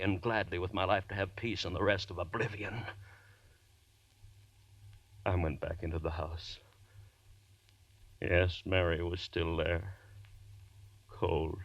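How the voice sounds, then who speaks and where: Tormented male murderer, 1940s radio horror. Deep-leaning, sharp, intense, theatrical pauses, confessional and desperate. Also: no room sound. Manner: melodramatic, intense, dramatic pauses. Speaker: narrator and lead actor.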